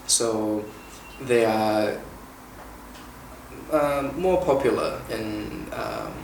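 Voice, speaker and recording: A man in his twenties from China, hosting an informal Q&A and explaining things in a measured way with frequent "uh" pauses. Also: on the low side. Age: 20s. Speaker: interviewer and former international student. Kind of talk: informal Q&A, explaining and asking questions. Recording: echoey room, mic at a distance, faint hiss.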